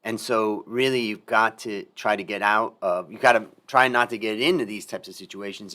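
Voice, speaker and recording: Low-voiced middle-aged male podcast host. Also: a bit bright. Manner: reflective, emphatic, repeats key phrases. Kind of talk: casual interview talk.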